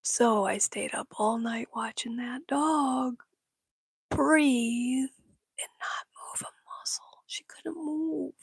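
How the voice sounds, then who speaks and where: A woman from Michigan whispering in casual chat with her livestream viewers, breathy and laughing often. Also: high-pitched, slow pace, dry recording. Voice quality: breathy, gravelly. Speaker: livestream host.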